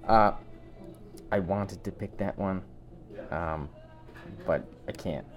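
A man co-hosting a podcast, giving opinions in casual chat and repeating phrases haltingly as he argues. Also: low voice, soft background music. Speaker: podcast co-host.

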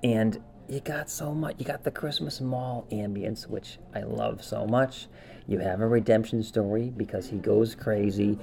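A man's voice: fairly low pitch, fairly even intonation, unhurried, creaky, dark tone. A man co-hosting a podcast in casual, enthusiastic chat, often repeating his phrases. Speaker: podcast co-host.

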